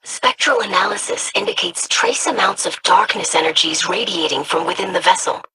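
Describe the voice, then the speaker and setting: Game role: bright-voiced young alien researcher, measured and precise. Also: female voice, fairly low pitch, animated, slight background noise, low-quality recording. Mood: thoughtful. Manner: measured, studious. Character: young alien scholar, formal and curious.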